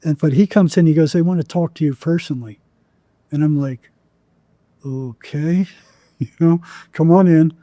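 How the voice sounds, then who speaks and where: A man's voice: fairly high pitch, moderate pace, long pauses, dark tone, no room sound, studio quality. A man telling stories as an interview guest in a conversational, rambling way, often acting out quoted dialogue. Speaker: podcast guest, psychotherapist.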